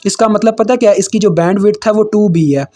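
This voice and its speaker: A young man with a Pakistani Urdu accent talks through engineering slides like a relaxed tutor. His voice sits high but sounds mellow and warm. He often stops with fillers and restarts, and he calls his listeners kids. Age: 20s. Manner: informal, addresses viewers as kids, frequent fillers and restarts; slowly reads English textbook lines aloud. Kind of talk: casual explanatory lecture over slides.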